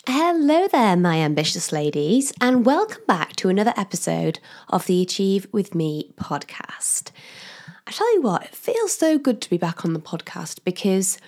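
Chatty woman podcast host, slightly croaky. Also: medium pitch, big pitch swings, normal speed, a little mellow. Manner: chatty and upbeat, laughs often, talks straight to the listener. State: getting over a cold, slightly croaky. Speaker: podcast host and career coach. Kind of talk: casual solo podcast monologue.

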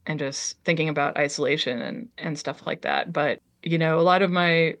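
A woman's voice: deep voice, steady tone, normal speed, fairly bright tone. A woman artist chatting casually as an interview guest, hesitant and full of ums, trailing off her sentences. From Liberia.

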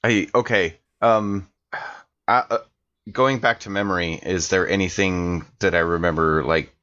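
A man's voice: low voice, fairly even intonation, a little slow, sharp tone. A man playing a tabletop game, chatting casually in character, on a slightly dull recording.

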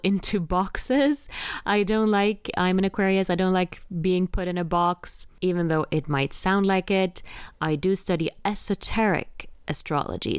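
A woman's voice: low-pitched, clean tone. A woman hosting a podcast, chatting in a gushing, easygoing way and laughing often. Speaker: podcast host. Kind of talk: casual podcast chat.

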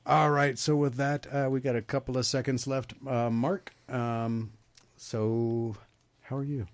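A man's voice: medium pitch, steady tone, no echo. Man hosting a talk radio show. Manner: halting, filler-heavy questions. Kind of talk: casual radio interview.

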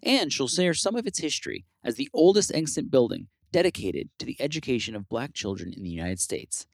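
A man's voice: medium pitch, very animated, a little fast, slightly rough, dry recording, studio quality. A man hosting a preservation interview podcast, chatting loosely with fillers and restarts. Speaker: podcast host. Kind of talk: casual interview chat.

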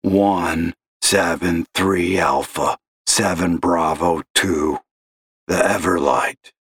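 A man voicing a miserable, gravel-voiced caller who talks slowly and gloomily. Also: deep voice, a bit bright, no echo, pitched below the performer's own voice, exaggerated voice. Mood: gloomy, bitter. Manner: slow, gloomy and accusing. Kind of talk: audio story reading.

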